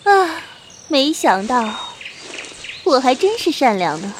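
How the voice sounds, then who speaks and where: A woman's voice: sits high, very animated, takes it slowly, background noise, exaggerated voice. A woman playing a teasing, domineering young martial-arts heroine in a wuxia audio drama, mocking and haughty in manner. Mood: mocking, playful.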